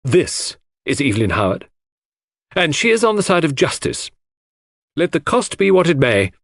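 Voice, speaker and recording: A man acts out a proud, conflicted woman in an audiobook mystery. The voice is lifted and expressive, blunt and emphatic, with halting defiance and a dry, close sound. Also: a little high, no echo, voice pushed up, full character voice. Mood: proud, resolute.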